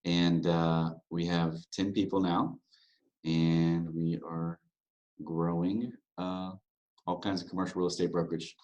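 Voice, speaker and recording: A man in his thirties, a broker from Louisiana, answering interview questions in a relaxed, candid way with plenty of fillers. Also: deep voice, unhurried, muffled recording. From Louisiana, USA. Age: 30s. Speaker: guest, real estate broker. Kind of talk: podcast interview.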